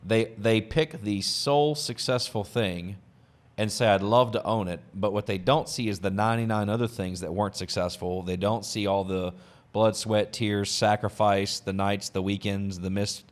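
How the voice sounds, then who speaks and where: A man with a fairly low voice hosts a casual business podcast. He talks emphatically, hammering points by repeating them. He speaks into a podcast microphone. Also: fairly even intonation, moderate pace. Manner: emphatic, repeats key phrases. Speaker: podcast host.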